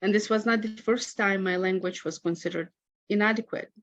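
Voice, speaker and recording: A woman's voice: mid-range voice, steady tone, brisk. Moldovan-accented woman reading a reflective talk. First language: Romanian. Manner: reflective, reads a prepared personal account. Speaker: conference presenter. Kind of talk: academic conference talk. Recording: dull lo-fi recording.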